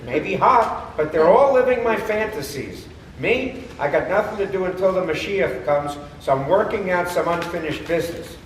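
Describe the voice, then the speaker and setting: Male actor as persuasive cantor, stage play, declamatory pauses, roomy echo, faint noise. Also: sits high, expressive. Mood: wry. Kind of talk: live theater comedy acting. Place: theater or temple hall, far microphone. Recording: echoey hall from far off, noisy.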